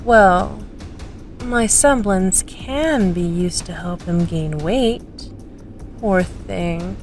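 A woman's voice: medium pitch, extremely slow, soft background music. A woman playing a smug, manipulative young female student in an audio drama, drawling and condescending as she taunts.

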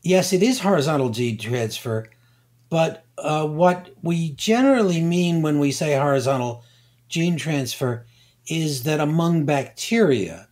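Older man, guest scientist, very slow, hesitant, measured explanations. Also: on the high side, animated, a little mellow. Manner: slow, deliberate, many hesitations. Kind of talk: conversational science interview.

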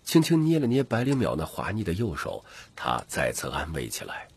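Male narrator, audiobook, low pitch, very husky, animated, scripted storytelling. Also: normal speed. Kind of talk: dramatic scripted fantasy narration.

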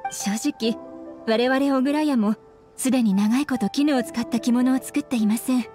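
A woman's voice: sits high, moderate pace, dark tone, close to an everyday voice. A woman voicing a polite, welcoming young female shopkeeper in scripted game dialogue. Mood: polite, eager. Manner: polite customer-service speech. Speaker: game voice actor. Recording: studio voice over game music.

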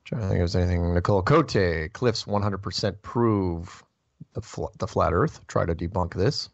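A man's voice: low-pitched, moderate pace, vocal fry, highs cut off. A man hosting a call-in show, chatty and joking in casual talk. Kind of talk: casual call-in radio chat.

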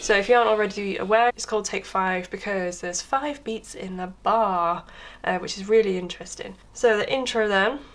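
A woman with a hoarse voice from being under the weather, chattily explaining a piano tutorial step by step at home, recorded on a crackling camera mic. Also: mid-range voice, moderate pace, few pauses. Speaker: piano teacher. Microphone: camera microphone with crackle. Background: piano playing.

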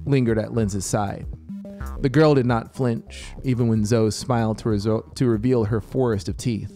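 Man, thirties, low-pitched, gravelly, dramatic deliberate story narration, close studio mic. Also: fairly even intonation, mellow. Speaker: audiobook narrator. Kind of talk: scripted story narration over soundscape. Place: small home music studio, indoors. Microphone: close studio microphone with headphones. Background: atmospheric synth music.

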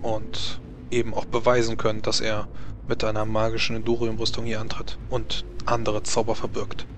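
A man playing a scholarly, helpful court mage in a tabletop game, speaking in a measured, formal, hesitant way. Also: lowish voice, monotone, background noise, close to an everyday voice. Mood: earnest. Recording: music behind the voice.

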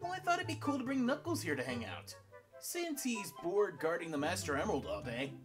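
A woman's voice: lowish voice, sing-song, a little fast. A woman voicing an easygoing young male cartoon hedgehog hero, casual and coaxing. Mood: relaxed, coaxing. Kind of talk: comic dub voice acting. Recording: music playing behind the voice.

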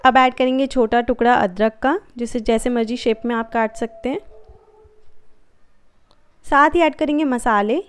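A woman hosting a cooking channel, giving calm, friendly step-by-step recipe instructions in a voice-over. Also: a little high, a little slow, pauses often, clean tone.

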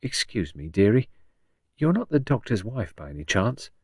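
A man's voice: on the low side, sing-song, normal speed, a little mellow, slightly rough, dry recording, clean studio sound. A man voicing a shrewd, blunt old working-class woman patient in an audiobook, chatty and colloquial with folksy endearments. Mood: wary, low. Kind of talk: audiobook character dialogue.

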